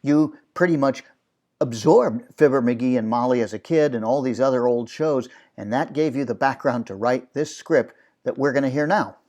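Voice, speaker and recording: A man's voice: medium pitch, normal speed, a little mellow. A man hosting an interview, putting questions to his guest and introducing what comes next. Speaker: host, interviewer.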